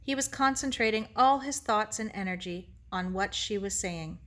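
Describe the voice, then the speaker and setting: A woman's voice: medium pitch, moderate pace, a bit bright. A woman reading a children's novel aloud as its narrator, in scripted storytelling. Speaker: reader.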